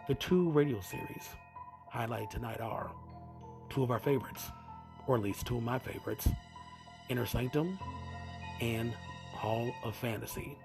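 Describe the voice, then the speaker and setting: Gravelly man with a cold, hosting. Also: mid-range voice, normal speed, pauses often. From US East Coast. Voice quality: scratchy, gravelly. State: fighting a cold. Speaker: podcast host. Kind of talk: podcast introduction. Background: music.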